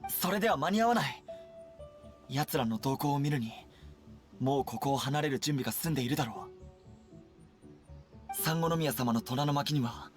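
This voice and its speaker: An actor playing an earnest, dutiful young army captain in an anime game, with a formal military manner. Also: male voice, medium pitch, natural voice. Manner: crisp, military, formal recitation and briefing. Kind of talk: Japanese anime game voice acting. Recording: game dialogue over background music.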